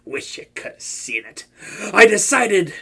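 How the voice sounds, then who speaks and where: A swaggering young supervillain who turns giggly and stammers when flustered, as a man acts him out. His voice leaps all over the place, bright and gravelly, and he takes his time with the words. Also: high-pitched, big pitch swings, measured pace, full character voice. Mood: playful smug. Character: flustered young male supervillain, boastful yet soft-hearted. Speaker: voice actor in an audio roleplay. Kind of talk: comedic audio roleplay acting.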